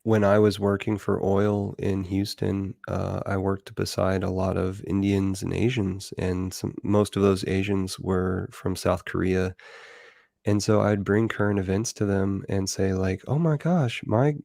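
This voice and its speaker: A man's voice: low-pitched, level tone, measured pace, gravelly, warm tone, no room sound, clean studio sound. A man hosting a livestream, talking casually and rambling with many fillers. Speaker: livestream host. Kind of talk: casual online chat.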